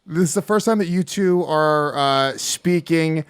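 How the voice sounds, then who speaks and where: A man hosting a podcast and introducing a fighter, talking in a quick, questioning way. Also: on the high side, clear, resonant tone, no echo, clean studio sound. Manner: hype announcer, then quick questions. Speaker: podcast host. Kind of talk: casual podcast chat, hosting a fight promo.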